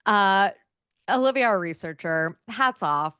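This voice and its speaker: A woman's voice: mid-range voice, lively intonation, a little slow, pushed and bright, smooth, dry recording, studio quality. A woman in her thirties co-hosting a podcast, chatting casually and reading through research notes with plenty of "um" and "like". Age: 30s. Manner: chatty, filler-heavy, with frequent "um" and "like". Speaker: podcast co-host.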